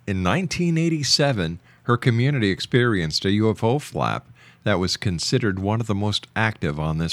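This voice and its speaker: A man's voice: on the low side, dry recording. A man speaking as a radio show host, introducing and interviewing in a broadcast style.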